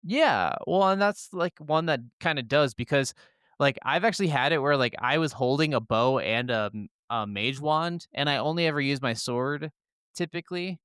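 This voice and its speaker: A man's voice: fairly high pitch, normal speed, a bit bright, clean tone, dry recording, studio quality. A man co-hosting a gaming podcast in casual, opinionated chat, rambling enthusiastically through explanations and swearing freely.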